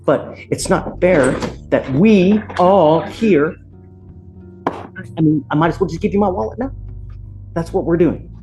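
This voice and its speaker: A man's voice: sits high, unhurried, pauses often, mellow, poor audio. A man with an American accent speaking as a judge in a courtroom, stern, blunt and colloquial as he addresses people from the bench. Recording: courtroom audio with background music.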